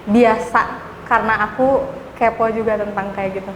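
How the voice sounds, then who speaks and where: A frank young woman in her twenties with a slightly high voice. She is recorded in an echoey room with a long reverb. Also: steady tone, normal speed, background noise. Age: 20s. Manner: casual, frank. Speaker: recent graduate. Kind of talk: casual interview answers.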